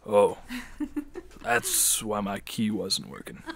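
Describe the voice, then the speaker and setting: An actor playing a jovial, carousing mobster in a radio drama, with a loose, careless manner. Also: male voice, lowish voice, huge pitch swings, takes it slowly, vocal fry, a crowd in the background, exaggerated voice.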